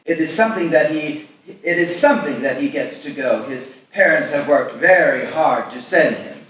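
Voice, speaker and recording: A man's voice: a little high, expressive, moderate pace, fairly bright tone. A man playing a kindly older rabbi, proud and protective, speaking formally in a live screenplay table read. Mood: proud, warm. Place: live festival event, echoing room. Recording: echoey hall from far off, faint noise.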